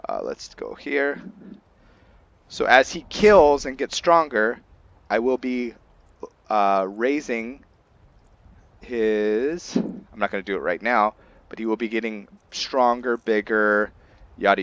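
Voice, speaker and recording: A man hosting a gaming video, giving casual, halting commentary in an informal, rambling way. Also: mid-range voice, animated, fairly bright tone, highs cut off. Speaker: gaming channel host. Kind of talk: casual gameplay commentary introducing a roleplay character.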